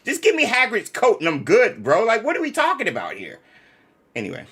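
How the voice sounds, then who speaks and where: Animated, bright-voiced male streamer. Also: high-pitched, a little fast, husky. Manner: animated, slangy, playfully indignant. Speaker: streamer co-host. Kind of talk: casual livestream chat.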